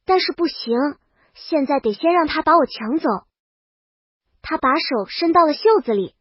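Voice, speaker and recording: A woman's voice: high-pitched, expressive, normal speed, dry recording, highs cut off. A woman narrating a comedic story in the first person, in storybook style. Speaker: audiobook narrator.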